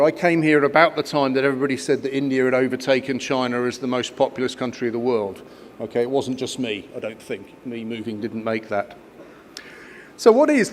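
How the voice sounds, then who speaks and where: A man's voice: medium pitch, slight background noise. A man in his fifties, raised in Kenya, giving a conference speech with many fillers and restarts. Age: 50s. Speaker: guest speaker at an education conference.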